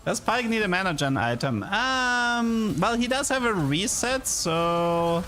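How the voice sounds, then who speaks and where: A man's voice: sits high, big pitch swings, unhurried, bright, forceful tone. A man with a German accent, chatting casually with viewers on a game livestream in a rambling, self-amused way. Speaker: game streamer. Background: music.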